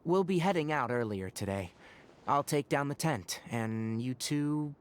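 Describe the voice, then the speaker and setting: A man playing a calm, considerate young forest ranger in a video game, measured and instructive with a dry edge. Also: medium pitch, a little hiss or hum, lightly acted. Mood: calm, cautious. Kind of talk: scripted video game dialogue acting. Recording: studio voice over game music.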